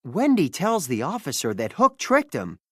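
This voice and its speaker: Animated children's storybook narrator, lively pitch. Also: moderate pace, no echo, studio quality.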